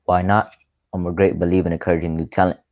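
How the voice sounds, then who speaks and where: A man plays a smug, scheming gentleman in an old-time radio mystery. His voice is low and closely recorded, all mock courtesy and sly taunting. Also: level tone, quick pace, no room sound, highs cut off. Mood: breezy, sardonic. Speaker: actor in a student radio drama.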